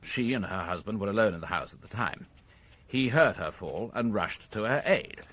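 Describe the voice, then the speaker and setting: British police inspector in radio drama, bit husky, lively intonation, formal n precise, methodical type. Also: male voice, on the low side, moderate pace. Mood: measured, formal. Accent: British English. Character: methodical, courteous male police inspector reporting his findings.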